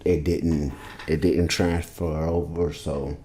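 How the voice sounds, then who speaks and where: Male producer, bass-heavy voice, easygoing and rambling, roomy home-studio sound. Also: level tone, slow, dark tone, slight background noise. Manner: laid-back, rambling, loose and informal. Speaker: music producer teaching vocal mixing. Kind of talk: casual screen-share tutorial. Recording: roomy echo.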